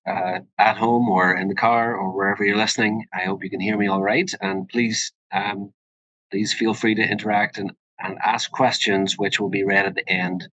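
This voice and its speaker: Bright-voiced Northern Irish man, fairly low pitch. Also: no room sound, rough recording. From Northern Ireland. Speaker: presenter, urogynecologist. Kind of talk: informal webinar presentation.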